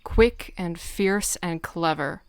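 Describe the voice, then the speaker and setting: A woman playing a stern, commanding warrior cat leader and mentor in an audiobook drama, speaking firmly and with deliberate phrasing. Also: fairly low pitch, a little mellow, clean tone, dry recording. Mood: intense, measured. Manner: firm, measured, deliberate. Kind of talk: fan-dub audiobook drama acting.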